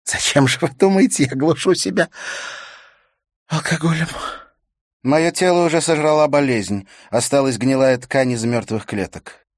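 Audiobook role: grieving older widow, ailing and bitter, pleading through tears, pitched up by a male narrator. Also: moderate pace, no echo, studio quality, close to an everyday voice. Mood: anguished outburst. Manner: tearful, pleading.

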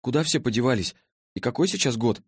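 A man's voice: medium pitch, expressive, fast, slightly rough, no room sound, clean studio sound, voice pushed up. A man voicing a polite, bewildered schoolboy time traveller in an audiobook, hesitant and earnest.

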